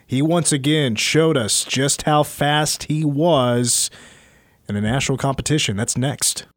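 A man hosting a sports talk radio show, chatty and rambling, his voice getting a little hoarse. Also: medium pitch, a little slow, slight background noise. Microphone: studio microphone.

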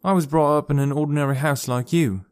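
A man's voice: fairly high pitch, steady tone, fast, clear, resonant tone, dry recording, clean studio sound, voice pushed up, close to an everyday voice. A man voicing an earnest, urgent young woman who leads a forest community. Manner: raised, earnest and pleading delivery.

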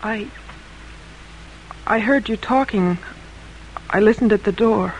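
An actress playing an anxious young daughter in colonial America, pleading and emotional in old-time radio drama style. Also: female voice, medium pitch, slow pace, a little hiss or hum, rough recording.

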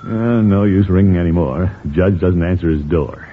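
Man acting blustering low-voiced uncle, old radio. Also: moderate pace, natural voice. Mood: scheming. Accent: American. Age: middle-aged. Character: fussy, pompous middle-aged uncle, blustering but good-natured. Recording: tinny, noisy old radio recording.

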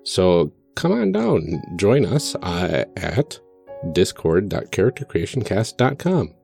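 Low-voiced man, very animated podcast host. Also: slow, creaky, faint music behind. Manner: friendly, earnest, appreciative. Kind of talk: casual podcast announcements and banter.

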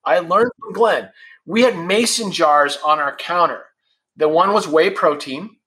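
A blunt, candid man in his fifties chats on a podcast. His voice is bright and forward, and it sits a little high for a man. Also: unhurried, low-quality recording. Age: 50s. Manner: candid and blunt, swears freely. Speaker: podcast guest, founder of a supplement brand. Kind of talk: casual podcast conversation.

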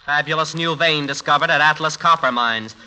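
Stuffy, gullible gentleman, very bright voice. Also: a little high, brisk, clear, resonant tone. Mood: suspicious. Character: gullible, officious, greedy young gentleman rival. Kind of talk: classic radio comedy acting. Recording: noisy old radio show recording.

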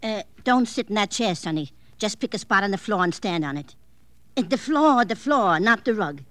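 A woman's voice: medium pitch, lively intonation, moderate pace, a bit bright, slight background noise, close to an everyday voice. A woman playing a cranky, evasive older aunt in a radio drama, fussy and scolding, talking in clipped, colloquial fragments. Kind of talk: old-time radio drama acting.

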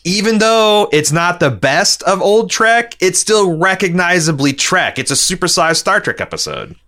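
A man's voice: high voice, very animated, normal speed, pushed and bright. A man, co-hosting a podcast in casual chat and reading listener messages aloud.

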